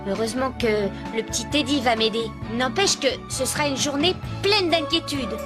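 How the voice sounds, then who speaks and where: A woman voicing a mischievous, defiant young girl in a French-dubbed animated series. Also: high-pitched, moderate pace, close to an everyday voice. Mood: narrating next episode. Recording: music behind the voice.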